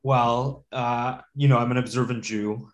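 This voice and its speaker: A man's voice: medium pitch, steady tone, a little slow. A man from Latvia, a guest in a casual interview, talking thoughtfully with many fillers and restarts.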